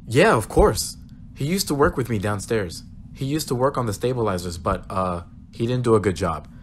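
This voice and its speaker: A man's voice: medium pitch, expressive, moderate pace, a little hiss or hum, natural voice. A man playing a defensive, awkwardly rambling supervisor in an audio drama. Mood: defensive, flustered. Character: flustered, defensive male downstairs supervisor.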